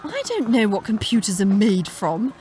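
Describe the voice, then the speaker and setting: A woman playing a naive, snobbish high-society lady, gushing and theatrical, in a comic sci-fi audio drama. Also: sing-song, normal speed, warm tone, natural voice. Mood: naive, certain.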